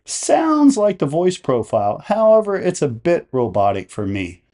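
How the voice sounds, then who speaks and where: A man presenting a step-by-step tutorial in a measured, explanatory way. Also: a little high, huge pitch swings, dark tone. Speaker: tutorial host. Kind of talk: step-by-step instructional narration.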